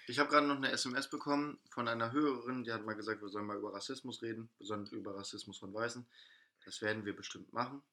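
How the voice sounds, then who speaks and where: A man's voice: mid-range voice, steady tone, racing, pushed and bright. A young man co-hosting a casual podcast, talking in an enthusiastic, slangy, joking way. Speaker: podcast co-host. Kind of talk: casual chat.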